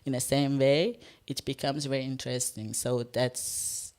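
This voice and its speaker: A performance artist whose first language is Farsi, speaking English on a live panel, often pausing and searching for words. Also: medium pitch, steady tone, a little mellow. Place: stage talk before an audience, no microphones.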